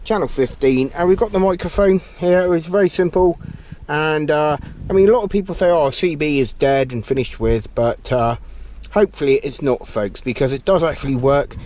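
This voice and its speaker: A man's voice: on the high side, moderate pace. A man giving a casual hands-on demonstration to viewers in a hesitant, rambling, informal way. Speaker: vlogger demonstrating a CB radio. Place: inside a car. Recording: noisy car interior, radio hiss. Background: loud radio hiss and static.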